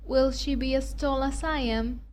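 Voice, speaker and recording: A woman playing a haughty, gushing young society lady in a dramatized audiobook, with affected, drawn-out emphasis. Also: high voice, steady tone, a little mellow, a little hiss or hum.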